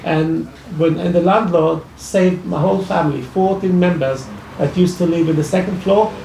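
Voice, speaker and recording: Gravelly man with an Iraqi accent, echoey hall. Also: on the high side, fairly even intonation, mellow, a little hiss or hum. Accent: Iraqi Arabic. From Iraq. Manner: halting, many restarts and fillers. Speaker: speaker at a public discussion. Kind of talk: personal testimony at a talk. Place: library event room. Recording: echoey hall, mic from far off.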